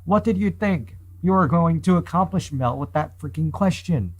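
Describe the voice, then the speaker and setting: A man's voice: fairly high pitch, lively intonation, normal speed. A man hosting a let's play of a visual novel, giving casual, amused asides with some swearing as he reads.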